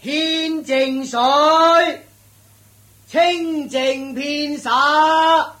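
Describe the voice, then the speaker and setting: A man's voice: extremely high pitch, sharp tone, full character voice. A man with a Hong Kong Cantonese accent playing a dutiful, deferential household steward in a radio drama, speaking ceremoniously. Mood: solemn proclaiming.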